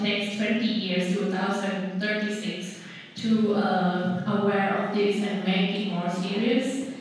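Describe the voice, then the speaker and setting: A young woman with a Thai accent on a conference panel, chatting in a friendly, hesitant way with many fillers, holding a handheld mic. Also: medium pitch. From Thailand. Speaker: panelist, hydrologist. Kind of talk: staged panel chat. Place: large conference hall on stage. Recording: echoey hall from far off.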